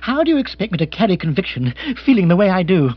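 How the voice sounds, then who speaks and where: A man performs a young upper-class lord in a comic storybook, all nerves and petulance. His voice is pitched up and swings about excitedly, full of flustered exclamations and posh slang. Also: quick pace, lo-fi sound. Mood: agitated, panicky. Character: agitated, petulant young English lord in a panic.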